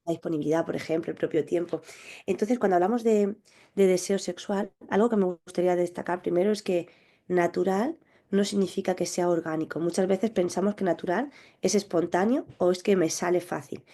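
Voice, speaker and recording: A woman sexologist answering interview questions, explaining in a measured, thoughtful way with small restarts. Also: fairly low pitch, warm tone. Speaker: clinical sexologist guest.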